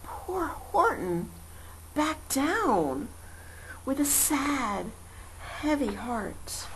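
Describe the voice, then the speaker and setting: Female amateur storybook reader: highish voice, unhurried dramatic verse narration, lightly noisy home recording. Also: very animated. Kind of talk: expressive children's verse narration. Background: faint ambient noise.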